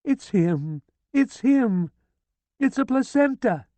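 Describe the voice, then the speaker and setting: A man's voice: animated, a little slow, dark tone, dry recording. A man reading an audiobook, voicing a hysterical older woman who wails, pleads and screams in grief. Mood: pleading. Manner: shrill, frantic pleading and screaming. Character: hysterical grieving older woman, wailing and shrieking. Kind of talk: audiobook character voice.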